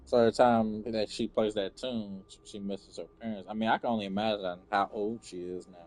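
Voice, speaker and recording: A man's voice: on the low side, fairly even intonation, fairly quick, a little mellow. A man giving casual, incredulous gameplay reaction commentary as a streamer, with casual swearing. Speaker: game streamer.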